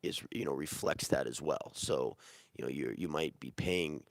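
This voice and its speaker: A man with a very low, gravelly voice, hoarse because he is sick. He answers questions in a relaxed, rambling way with plenty of fillers and restarts. The sound is dry and close. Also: normal speed, no echo. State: sick, losing his voice. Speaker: real estate agent answering viewer questions. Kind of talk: casual Q&A livestream.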